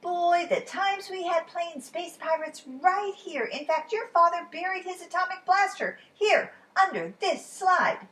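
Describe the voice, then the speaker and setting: A woman voices a playful imaginary pal from a picture book. He is breezy and coaxing, with a high voice that bounces around at an unhurried pace. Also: lively intonation, a bit bright, reverberant, far from the mic, full character voice. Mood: fond, reminiscing. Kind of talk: children's storybook read-aloud.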